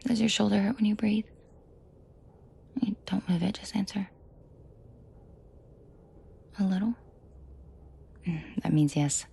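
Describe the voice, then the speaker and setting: A woman playing a commanding, protective mafia boss in an intimate roleplay audio drama, shifting between cold authority and soft coaxing. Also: mid-range voice, normal speed, gravelly, breathy edge, heavily acted. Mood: tender, protective. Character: commanding female mafia boss, furious yet protective and tender.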